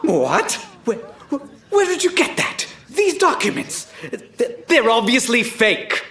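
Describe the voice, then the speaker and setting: Haughty crooked scientist, bright forceful voice, slow indignant bluster, audio drama, echoing room. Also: mid-range voice, sing-song, exaggerated voice. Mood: flustered. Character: pompous, defensive fraudulent scientist cornered by accusers. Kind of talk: animated audio drama acting. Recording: roomy echo.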